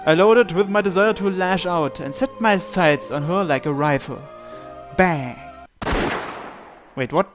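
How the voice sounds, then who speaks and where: A man with a fairly high voice narrates a visual novel as he plays. He reads the text expressively and drops in casual remarks, with music behind him. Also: unhurried. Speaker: gamer hosting a visual novel playthrough. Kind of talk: reading game text aloud with casual commentary.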